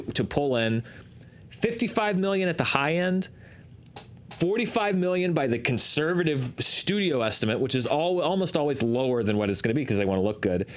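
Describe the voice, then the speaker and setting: Man explaining things as a show host. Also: medium pitch. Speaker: host of a box office discussion show. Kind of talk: analytical talk show commentary.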